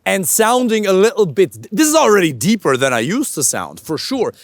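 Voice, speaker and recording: A man with a naturally high voice coaches other men while he walks outdoors. His pitch swings widely as he leans on words for emphasis, and now and then he swears casually. The sound is close and dry. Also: big pitch swings, moderate pace, no room sound. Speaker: dating coach. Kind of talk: walking talk to camera, coaching. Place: outdoors, walking with a camera operator.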